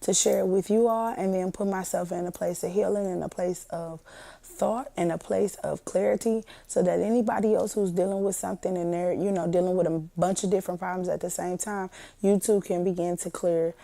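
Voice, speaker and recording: A young woman hosting her own podcast while sick, her voice hoarse and partly gone, chatting folksily with listeners she calls family. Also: fairly low pitch, fairly even intonation, brisk, mellow. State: partially lost voice from sickness. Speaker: podcast host. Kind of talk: casual motivational podcast talk.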